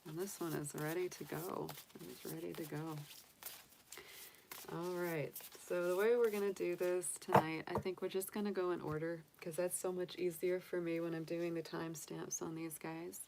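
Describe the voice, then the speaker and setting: A woman's voice: fairly low pitch, little pitch movement, moderate pace, gravelly, noisy background. A woman from the United States, casually chatting on a livestream as a tarot reader, rambling with many fillers and restarts. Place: home room with candles and sage.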